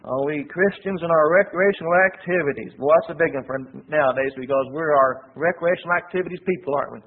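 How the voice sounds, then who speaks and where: Male preacher, loud husky voice, high-pitched, conversational then emphatic; tinny phone-like recording. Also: fast, a little hiss or hum. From United States. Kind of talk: sermon.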